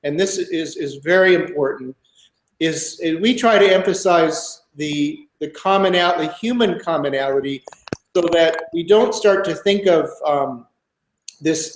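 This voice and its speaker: A man's voice: fairly high pitch, reverberant, low-quality recording. A man in his sixties from Minnesota, answering interview questions thoughtfully as a guest author, with many fillers and restarts.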